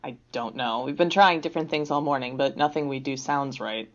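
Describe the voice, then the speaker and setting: A woman playing a brisk, teasing professor in a fiction podcast, with a wry, bantering manner. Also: extremely low pitch. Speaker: actor in an audio drama. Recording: slightly dull, lo-fi sound.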